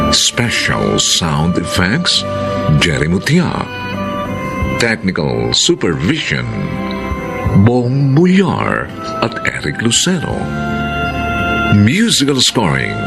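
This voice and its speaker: A man's voice: low-pitched, huge pitch swings, very slow, creaky, long pauses. A man with a Filipino accent doing scripted, dramatic announcing for a radio drama. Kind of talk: scripted dramatic narration and credit reading. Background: loud guitar music.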